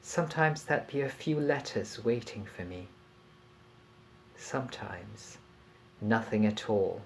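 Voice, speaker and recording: A man reading a passage from a novel aloud in a measured, deliberate and expressive storytelling manner. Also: fairly low pitch, a little hiss or hum, low-quality recording.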